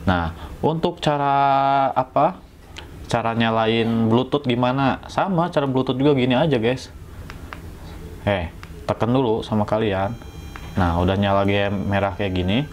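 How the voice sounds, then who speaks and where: A man's voice: medium pitch, unhurried, a little hiss or hum. A man with an Indonesian accent from West Java, giving a casual, slangy, jokey hands-on tutorial as a motovlogger. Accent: Sundanese-region Indonesian. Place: indoors at home.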